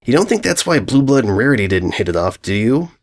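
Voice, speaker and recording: A man's voice: medium pitch, big pitch swings, quick pace. Give the fan fiction a man playing a young female cartoon pegasus. She is brash and skeptical, talking in blunt slang. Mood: dismissive. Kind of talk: fan fiction story reading.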